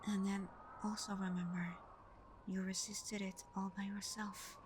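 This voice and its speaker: Girl doing a soothing vampire girlfriend for asmr, soft and whispery, takes it slow, bit of noise behind her. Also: mid-range voice, natural voice. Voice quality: soft, breathy, often whispered. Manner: gentle, halting, reassuring. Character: tender, guilt-ridden vampire girlfriend, soothing and devoted. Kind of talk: intimate ASMR roleplay, scripted acting.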